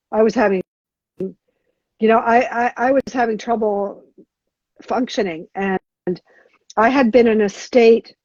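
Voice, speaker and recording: A middle-aged woman answering as a guest on a podcast interview, talking haltingly with many restarts and fillers. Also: mid-range voice, a little mellow, dry recording. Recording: dull lo-fi sound.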